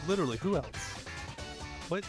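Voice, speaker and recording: A man's voice: a little high, expressive, brisk, over loud music. A man speaking casually as the host of a comic fandub, in a loose, off-the-cuff way. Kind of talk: casual sign-off and outtake chat.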